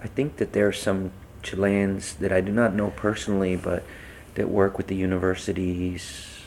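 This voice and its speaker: A man's voice: sits low, flat delivery, normal speed, creaky, a little mellow, a little hiss or hum. A man conducting an unscripted interview, feeling his way through questions with hesitant, tentative phrasing.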